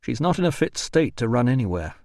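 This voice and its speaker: A weary, patient doctor speaks in short, firm sentences, as a man reads him. His tone is even and controlled. The recording is close and dry. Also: mid-range voice, fairly quick, no echo. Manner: firm, tired, clipped.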